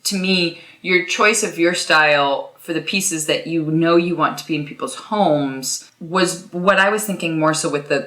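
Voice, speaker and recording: Podcast host, woman, bright tone, thoughtful summarizer, echoey room. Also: low voice. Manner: reflective, summarizes the guest's points at length. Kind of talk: interview conversation. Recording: roomy echo.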